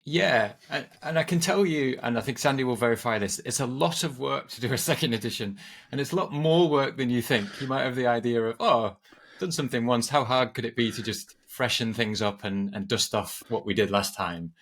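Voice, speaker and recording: A man's voice: medium pitch, fairly quick, a bit bright. A middle-aged man from England, a podcast guest talking in a friendly way and often repeating words as he restarts. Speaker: guest, agile coach and author. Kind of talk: casual podcast conversation.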